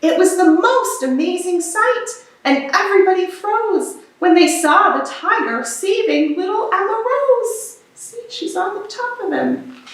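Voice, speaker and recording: A woman reading a picture book aloud for children in an expressive, animated storytelling manner. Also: very high-pitched. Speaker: storybook reader. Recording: very echoey room, distant microphone.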